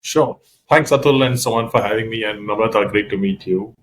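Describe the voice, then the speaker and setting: Relaxed man with a Keralite accent. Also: lowish voice, a little fast, a little hiss or hum. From Kerala, India. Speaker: podcast guest. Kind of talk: casual conversational storytelling.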